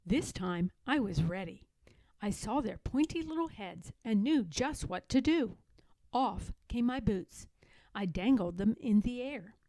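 A woman reading a children's story aloud in an expressive, dramatic way, leaning on key words. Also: mid-range voice, unhurried, no room sound, poor audio. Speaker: storybook reader.

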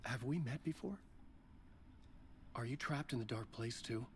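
A man's voice: mid-range voice, measured pace, slight background noise, close to an everyday voice. An actor playing a weary, serious, searching man trapped in darkness in a video game. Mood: puzzled, weary. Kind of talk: video game character acting and narration.

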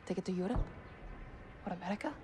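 A young woman with a Middle Eastern accent playing a defiant, wary rebel commander, stern and measured. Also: on the low side, animated, noisy background, lightly acted.

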